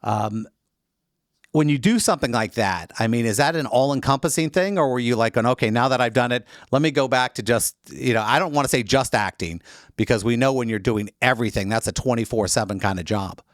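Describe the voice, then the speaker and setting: A man's voice: mid-range voice, brisk, no room sound, clean studio sound. A man hosting an interview show, asking long, chatty, rambling questions in casual talk. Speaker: interview host.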